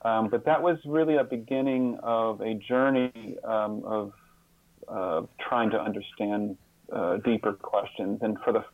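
Halting, gravelly man with muffled sound. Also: on the low side, steady tone, no echo. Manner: halting, thoughtful, with pauses and repeated words. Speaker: interview guest, author and hospice volunteer. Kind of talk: reflective interview answer.

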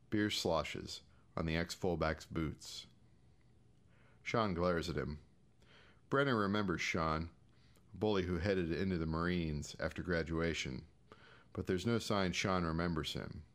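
Low-pitched American man reading a short story. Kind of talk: scripted audiobook-style fiction narration.

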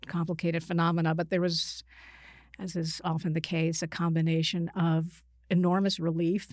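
An older woman, a psychiatrist guest speaking conversationally in an interview, halting and reflective, often qualifying herself mid-sentence. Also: fairly low pitch, no echo, studio quality. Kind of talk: conversational interview, recounting clinical experience.

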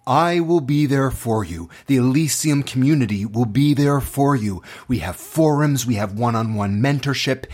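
Man, acting teacher, mid-range pitch, motivational storytelling, earnest, emphatic dramatic phrasing. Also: normal speed, few pauses, darkish tone. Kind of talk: motivational personal storytelling to students.

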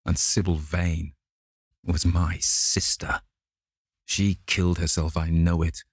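A man voicing a vengeful sailor who speaks in a slow, menacing growl. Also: low voice, level tone, no room sound, studio quality, exaggerated voice.

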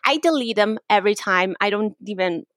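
A woman's voice: medium pitch, animated, a little fast, a bit bright, dry recording. Mexican-accented woman guest, chatty and dry. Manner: chatty, laughs often, many restarts. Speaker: podcast guest. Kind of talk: casual interview chat.

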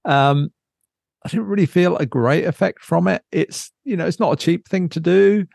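British man with a creaky, gravelly voice. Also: sits high, moderate pace, a little mellow, dry recording, clean studio sound. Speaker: podcast co-host. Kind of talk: casual unscripted chat.

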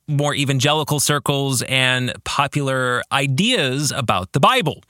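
A man's voice: on the high side, sharp tone, no echo, studio quality. A man hosting a podcast as a theology teacher, speaking in an academic, emphatic way as he explains ideas and reads aloud. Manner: academic, emphatic, asks the listener reflective questions. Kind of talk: book discussion podcast, teaching and reading quotations aloud.

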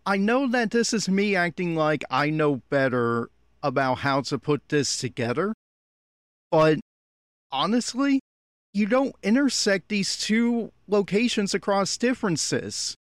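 A man's voice: high-pitched, expressive, unhurried, no echo, clean studio sound. A man giving blunt, exasperated commentary as he reacts critically to an anime episode. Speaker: podcast host giving audio commentary.

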